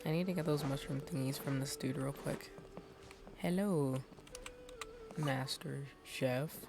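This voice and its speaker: A woman's voice: a bass voice, unhurried, background noise. A woman giving casual live gameplay commentary as a streamer, informal and slangy, reacting to the game. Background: game music.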